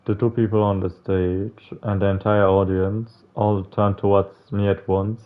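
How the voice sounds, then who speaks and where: A man's voice: on the low side, little pitch movement, measured pace, narrow, tinny audio. A man hosting a visual novel playthrough, reading the game text aloud and adding casual, slangy, sometimes sweary commentary. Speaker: video game playthrough host.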